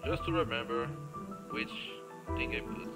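Slow-talking man with a creaky, gravelly voice. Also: medium pitch, big pitch swings, low-quality recording. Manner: muttering to himself in short fragments. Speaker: gamer playing and commenting. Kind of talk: casual gameplay commentary. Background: game music.

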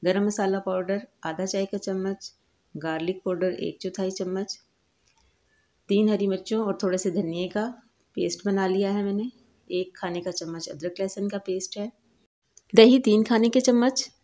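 A woman hosting a home cooking channel, calmly explaining each step of a recipe in a voice-over. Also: mid-range voice, moderate pace. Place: home kitchen.

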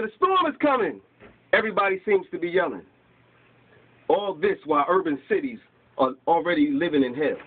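A man's voice: fairly high pitch, husky. A man in his forties reading his rhyming spoken word poems aloud at an open mic in a small bookstore, recorded by a camera across the room. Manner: reads from papers, rhythmic rhyming delivery, gestures while reciting. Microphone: far camera. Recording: tinny lo-fi camera audio.

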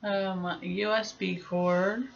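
A woman's voice: medium pitch, fairly even intonation, extremely slow, gravelly, dull audio, background noise. A young adult woman giving a casual, informal product review, thinking aloud as she goes in a small room at home. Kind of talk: casual unboxing review chat.

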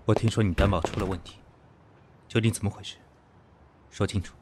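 A man's voice: fairly low pitch, steady tone, a little fast, noisy background, natural voice. A man acting a guarded, proud businessman in an audio drama, with a cool, restrained, businesslike manner. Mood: urgent, demanding. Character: guarded, proud businessman facing a former lover.

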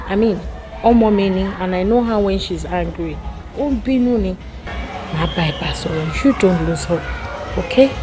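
A woman's voice: mid-range voice, dark tone, a little hiss or hum, natural voice, low-quality recording. A middle-aged actress playing a mother who scolds and counsels her son-in-law. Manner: firm, scolding, switching Yoruba and English. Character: firm motherly Nigerian matron, stern counsel. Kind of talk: Nollywood drama acting.

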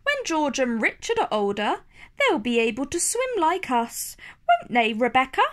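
A woman's voice: high voice, very animated, moderate pace. A woman voicing a bossy, proud little girl pig in a children's storybook, self-important and matter-of-fact. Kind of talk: children's storybook read-aloud.

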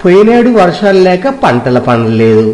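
A man's voice: high-pitched, big pitch swings, moderate pace, darkish tone. A man playing a miserly, scheming village moneylender in a story, wheedling and condescending with emphatic delivery. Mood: falsely reassuring. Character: miserly, scheming village moneylender husband, smooth-talking and greedy. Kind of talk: scripted Telugu moral story dramatization. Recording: roomy echo, music behind.

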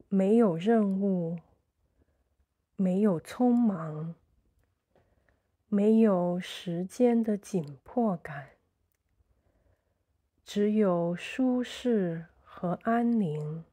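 A woman narrating a guided sleep meditation in a soothing, measured way, in short phrases with pauses. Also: medium pitch, animated, dark tone.

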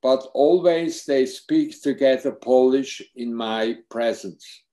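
Casting: older German-accented man, very slow, dark mellow tone, hesitant speech, laptop mic at home. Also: medium pitch. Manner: halting, searching for English words. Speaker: psychotherapist and film subject. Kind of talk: Q&A on a video call. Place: home room with bookshelves. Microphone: laptop webcam mic on video call.

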